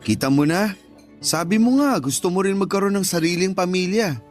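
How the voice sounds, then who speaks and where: A man's voice: high voice, brisk, soft background music, natural voice. An actor playing a teasing, pushy married best friend in his thirties, with a Filipino accent, coaxing and joking in a radio drama.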